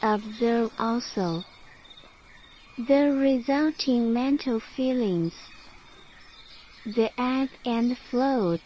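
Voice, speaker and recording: A woman narrating a guided sleep meditation in short phrases with long pauses between them. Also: a little high, dull audio, slight background noise.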